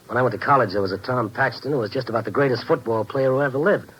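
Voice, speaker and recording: A man's voice: medium pitch, racing, a bit bright, a little hiss or hum, lightly acted, rough recording. An actor playing a world-weary, compassionate American newspaper reporter who narrates his own story in 1950s radio noir style. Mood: probing, friendly. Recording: vintage 1950s radio recording with music behind. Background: dramatic underscoring music.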